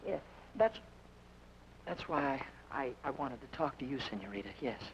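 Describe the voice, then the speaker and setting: A man's voice: mid-range voice, lively intonation, normal speed, natural voice. An actor playing a stammering bashful young man. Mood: awkward. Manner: stammering, bashful, blurts things out. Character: timid young man Denny, nervous and babbling. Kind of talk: 1940s radio acting. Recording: dull old radio recording with faint hiss.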